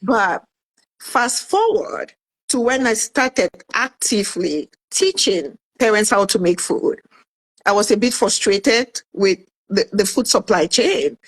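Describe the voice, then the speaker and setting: A woman's voice: medium pitch, takes it slowly, slightly rough, poor audio. A woman in her fifties from Cameroon, a guest telling her story in a casual interview and acting out what she said back then. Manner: animated, acts out her own past remarks in quotes. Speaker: guest, author and food entrepreneur.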